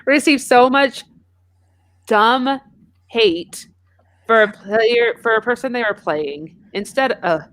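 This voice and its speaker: A woman's voice: fairly high pitch, slow pace, pauses often, a bit bright. A woman co-hosting a casual group chat about video games, bantering informally. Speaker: podcast co-host.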